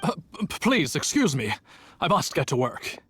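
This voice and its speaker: A man's voice: fairly high pitch, sing-song, moderate pace, fairly bright tone, a little hiss or hum. A man playing a flustered, deferential worker in a video game. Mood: flustered, shivering. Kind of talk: video game character acting.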